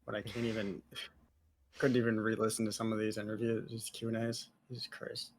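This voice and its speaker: A man's voice: on the low side, completely flat delivery, fairly quick. A man from the American South hosting a casual group Q&A, joking and teasing the others in a brash, playful way.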